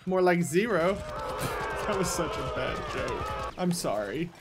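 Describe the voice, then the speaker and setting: A young man with a high-pitched voice for a man, giving playful, casual commentary while he plays, talking to himself and his viewers through a headset mic in a small room at home. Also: sing-song, a little slow, very noisy. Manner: playful, talks to himself and the viewers, sudden loud yelps. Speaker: gaming YouTuber.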